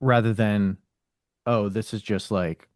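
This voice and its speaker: A man with a fairly low voice hosts a casual podcast conversation. He talks informally as he interviews his guest. The sound is dry, with hardly any room on it. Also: fairly even intonation, slow, no echo.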